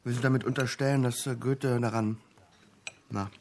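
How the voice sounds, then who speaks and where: An actor plays a sceptical man with a middle-pitched voice. He probes conversationally and a bit hesitantly, in natural radio-drama dialogue. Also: steady tone, normal speed, background noise, close to an everyday voice. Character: sceptical, probing man questioning an investigator.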